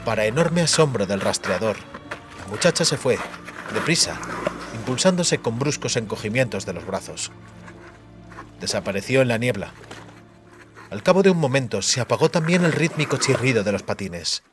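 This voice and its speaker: A man's voice: medium pitch, lively intonation, moderate pace, pauses often, over music. A man narrating fantasy fiction as a dramatic, scripted audiobook.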